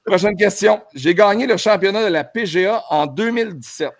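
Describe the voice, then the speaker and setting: A man's voice: high-pitched, fairly quick. A man with a Québécois accent hosting a casual podcast, reading quiz clues with an upbeat, playful announcer tone. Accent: Québécois French. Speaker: podcast host running a golf quiz.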